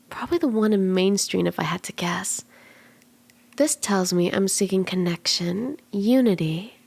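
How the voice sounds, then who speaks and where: A woman voicing a flirty, playful kitsune fortune teller in a soft, teasing, reassuring ASMR roleplay, slipping into breathy whispers in places. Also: medium pitch. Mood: teasing, intimate. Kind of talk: ASMR roleplay, soft intimate tarot reading.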